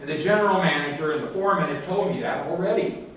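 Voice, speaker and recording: A man's voice: on the high side, fast, voice pushed up, lightly acted. A man voicing a sleepy, stubborn bear. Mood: insistent. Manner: soft and patiently insistent. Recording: echoey room from far off, faint hiss.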